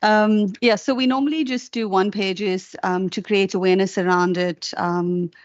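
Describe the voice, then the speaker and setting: A woman official explaining training at a committee hearing, halting with many fillers. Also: medium pitch, fairly even intonation, no room sound, dull audio. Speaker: department official answering a committee. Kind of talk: formal committee testimony.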